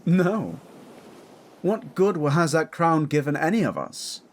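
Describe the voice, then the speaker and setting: Man acting defiant exiled prince, lively pitch. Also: a little slow. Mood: bitter. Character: exiled, defiant young prince, protective brother. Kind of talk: audio romance drama acting.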